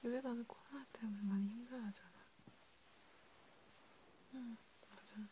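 A woman whispering softly in a casual, hesitant, gently caring chat for an ASMR roleplay. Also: a little high, fairly even intonation, normal speed, slight background noise, rough recording. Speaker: ASMR video creator.

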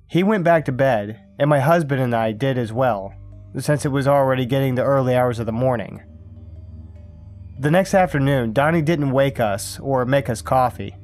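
A man narrates a creepy campfire tale in a steady, unhurried way. His voice sits at an ordinary middle pitch, recorded close and dry. Faint music plays underneath. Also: no room sound. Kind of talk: scripted horror story narration.

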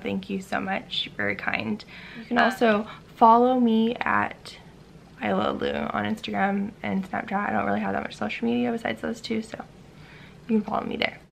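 A woman's voice: mid-range voice, creaky, fairly bright tone, a little hiss or hum. A 25-year-old woman from Hawaii with an American accent, chatting casually to camera in an informal, hesitant way that trails off. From Hawaii, United States. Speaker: vlogger. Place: room in a house, indoors.

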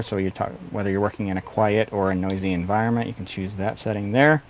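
A man's voice: fairly low pitch, a little hiss or hum. A man in his forties giving an explanatory product review at a desk in a small studio office. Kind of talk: explanatory gear review to camera.

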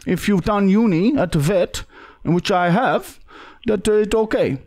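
A man's voice: sits high, a little slow, darkish tone. A young man voicing a pushy foreign man with broken English on a prank call, full of hesitant fillers. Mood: insistent. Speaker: prank caller. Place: small studio room indoors. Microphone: podcast mic on boom arm.

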